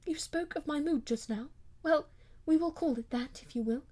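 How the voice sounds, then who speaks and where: Woman reader as distressed young noblewoman, high and faltering. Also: moderate pace, a little mellow, lightly acted. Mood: pleading. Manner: pleading, faltering. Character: distressed young noblewoman pleading with her husband.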